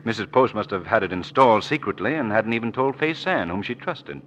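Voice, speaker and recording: An actor playing a confident gentleman detective in a 1940s radio drama. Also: lowish voice, expressive, brisk, dull audio. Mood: amused, assured. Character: confident, keen-witted gentleman detective explaining a solved case. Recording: noisy old 1940s radio broadcast.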